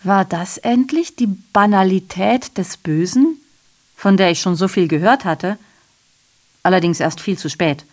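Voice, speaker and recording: A woman's voice: medium pitch, measured pace, darkish tone, natural voice. An actress playing a sardonic, aggrieved female messenger in a radio drama, delivering a digressive monologue full of rhetorical questions and self-corrections. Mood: reflective.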